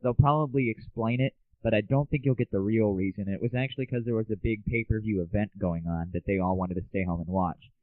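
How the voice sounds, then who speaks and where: A man's voice: lowish voice, brisk, hardly any pauses, no echo. Tinny, irreverent young American man ranting. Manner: irreverent, opinionated, slangy. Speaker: host. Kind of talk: casual solo gaming news and comedy rant. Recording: tinny, dry, phone-like narrow audio.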